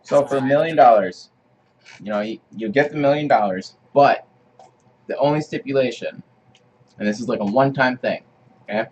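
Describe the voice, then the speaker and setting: Mid-pitched man chatting with faint background noise. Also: moderate pace, long pauses, a little mellow, dull audio. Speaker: podcast co-host. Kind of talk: casual joking chat.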